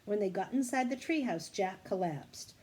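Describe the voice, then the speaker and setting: A woman's voice: medium pitch, lively intonation, normal speed, a little mellow, breathy edge. A woman reading a children's storybook aloud expressively, now and then correcting a stumble. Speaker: story reader.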